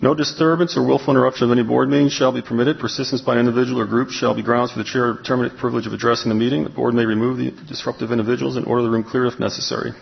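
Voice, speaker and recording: Board chair, male, rapid and husky, running the meeting by the book, dull lo-fi recording. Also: mid-range voice, level tone. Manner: brisk procedural reading. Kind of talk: formal meeting procedure.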